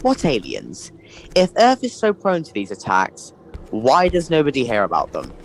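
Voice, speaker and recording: A man's voice: medium pitch, wildly swooping pitch, moderate pace, vocal fry, a little hiss or hum, low-quality recording. A man playing a sceptical, curious prison chief who keeps questioning in an audio drama.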